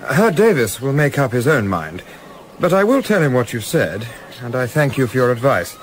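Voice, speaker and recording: An actor playing a polite, well-spoken young English gentleman who narrates his adventure in a radio play, with an English accent. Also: medium pitch, sing-song, moderate pace, a little hiss or hum, lightly acted. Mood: polite, guarded. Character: Carruthers, urbane young English gentleman, wry, diarist narrator. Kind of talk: radio play acting and first-person narration.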